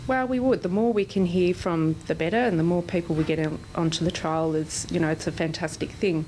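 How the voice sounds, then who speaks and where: A woman's voice: fairly low pitch, fairly even intonation, brisk, mellow, rough recording. A woman answering interview questions as a trial coordinator. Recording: slight background location noise.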